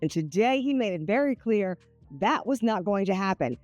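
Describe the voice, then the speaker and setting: A woman's voice: medium pitch, lively intonation, normal speed, darkish tone, no room sound, lo-fi sound, soft background music. A woman giving a broadcast news report as a reporter.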